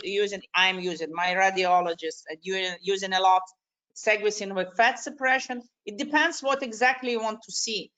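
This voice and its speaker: A woman with a foreign accent, not a native English speaker, giving spontaneous expert answers haltingly and repeating words for emphasis. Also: medium pitch, a bit bright. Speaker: surgeon on a discussion panel. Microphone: online video call.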